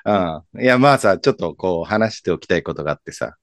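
An actor in a radio drama playing a relaxed, teasing older man who likes to share gossip. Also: fast talker, no room sound, studio quality. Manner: teasing, relaxed, low-key banter. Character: laid-back older man, friends' senior, playful gossip.

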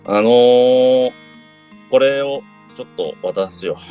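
A man's voice: medium pitch, slow pace, clear, resonant tone, lo-fi sound, faint music behind, natural voice. A man playing an awkward older brother who runs the family business, improvising hesitantly in a radio drama. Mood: awkward, casual.

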